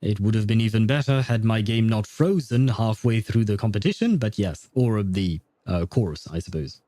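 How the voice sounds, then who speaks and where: A man's voice: fairly low pitch, normal speed, warm tone, dry recording. A man livestreaming a video game, chatting casually with viewers in rambling, self-deprecating banter. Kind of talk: casual livestream chat while gaming.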